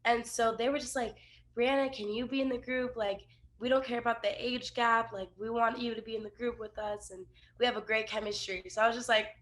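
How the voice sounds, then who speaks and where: A young woman singer chats casually in an interview, dropping lots of "like" as she talks. Her voice sits a little high for a woman. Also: fairly even intonation, fairly quick, fairly bright tone. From USA, Miami and Los Angeles.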